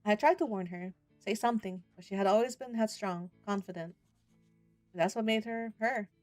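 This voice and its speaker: A woman's voice: medium pitch, moderate pace, darkish tone, no room sound, faint music behind, close to an everyday voice. A woman voicing an earnest, glum youngest brother who narrates a rural visual novel, plain-spoken and wry. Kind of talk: first-person visual novel narration and dialogue.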